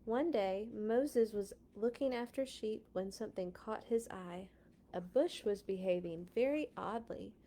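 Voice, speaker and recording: A woman hosting a children's read-along, reading storybook text with deliberate dramatic emphasis and dropping into casual asides. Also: medium pitch, dark tone. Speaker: children's read-along host and Bible school teacher.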